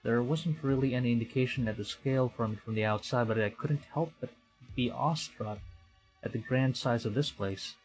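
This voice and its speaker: A male game streamer from the Philippines with a mid-pitched voice reads a visual novel aloud. He moves between dramatic narration and muttered asides. Game music plays behind him. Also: normal speed, poor audio. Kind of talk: game stream, reading story text aloud with reactions.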